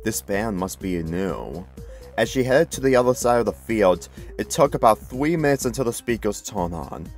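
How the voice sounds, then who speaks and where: A man's voice: medium pitch, expressive, a little fast, few pauses. A man reading a fan fiction story aloud as scripted narration. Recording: music playing behind the voice.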